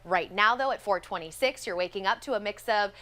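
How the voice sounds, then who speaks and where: A woman's voice: mid-range voice, fairly quick, bright, forceful tone. An American woman presenting a live weather forecast in a conversational broadcast style.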